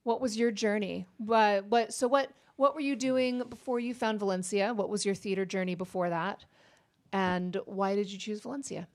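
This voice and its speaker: A woman's voice: mid-range voice, steady tone, normal speed, a little mellow. A woman with a mid-range voice running the interview. She offers encouraging reactions and asks questions, often starting over as she goes.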